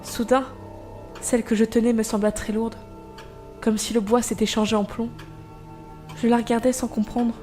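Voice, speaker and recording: Anxious young mother narrating, high-voiced actress. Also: mellow, lightly acted. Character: anxious young mother haunted by eerie household happenings. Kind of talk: first-person scripted narration in an audio drama.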